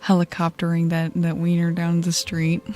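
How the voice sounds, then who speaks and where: A woman with a deep voice streaming ASMR, soft-spoken and whispering, giggly and playful as she chats.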